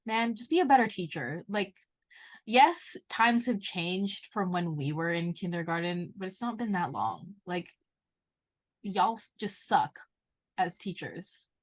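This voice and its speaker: A woman's voice: fairly low pitch, big pitch swings, a little slow. A young woman co-hosting a casual podcast chat, talking bluntly and emphatically, with lots of "like" as filler. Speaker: podcast co-host.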